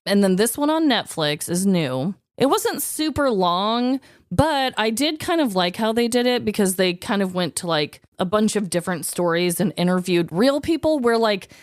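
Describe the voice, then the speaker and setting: Animated woman podcast co-host, dry close sound. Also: medium pitch, a little slow, dry recording. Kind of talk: casual podcast chat.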